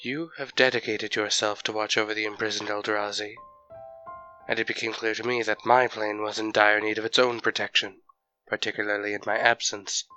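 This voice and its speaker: A man playing an ancient, aloof mentor in a fantasy audio drama, speaking in a bored, measured, condescending manner. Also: on the low side, sharp tone, rough recording.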